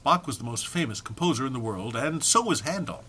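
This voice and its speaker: A man's voice: medium pitch, animated, fairly quick, pushed and bright, a little hiss or hum. A man giving a dramatic comic reading of a humorous text, mock-serious in delivery with dry muttered asides. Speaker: reader.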